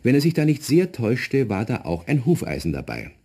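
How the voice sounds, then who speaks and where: A man's voice: mid-range voice, wildly swooping pitch, fairly quick, mellow, breathy edge, full character voice. A man as the storyteller of a children's radio play, narrating conversationally and addressing young listeners. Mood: storytelling.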